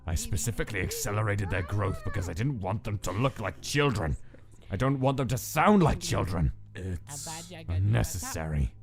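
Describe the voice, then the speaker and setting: A man voicing a cold, clinical scientist who dictates experiment notes in a measured, detached, deliberate way for a comic dub. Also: lowish voice, very animated, creaky. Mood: detached, musing. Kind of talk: scripted comic dub, log-style narration. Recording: voice under prominent music.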